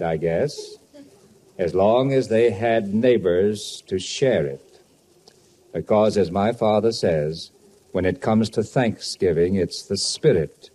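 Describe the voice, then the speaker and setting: A man's voice: lowish voice, warm tone, slight background noise. A man playing a firm but good-hearted middle-aged father in a 1950s radio comedy.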